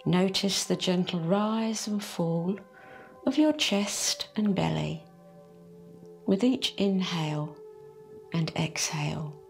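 A woman leading a guided meditation in a soft, soothing, unhurried way. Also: medium pitch, creaky. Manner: slow, soft and soothing, drawn-out phrases. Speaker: meditation teacher. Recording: faint music behind the voice.

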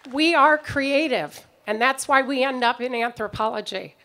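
A middle-aged woman giving a prepared commencement speech at an outdoor podium microphone, in a measured, reflective way. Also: high-pitched, sharp tone. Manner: measured, reflective delivery reading from notes. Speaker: commencement speaker. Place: outdoor ceremony stage.

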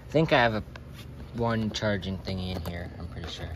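A man's voice: sits low, brisk. A young man chatting casually in a tentative way while co-hosting an unscripted gadget review at home. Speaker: co-host of gadget review vlog. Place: small carpeted room in a house. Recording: noisy handheld home recording.

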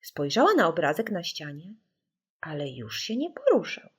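A woman narrating a children's audiobook in a suspenseful, theatrical way with deliberate dramatic emphasis. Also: lowish voice, wildly swooping pitch, slightly rough.

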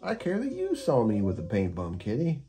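Man as male unicorn, mellow, bashful, tender, insecure, earnest storybook character. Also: mid-range voice, huge pitch swings, normal speed, voice pushed up. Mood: embarrassed.

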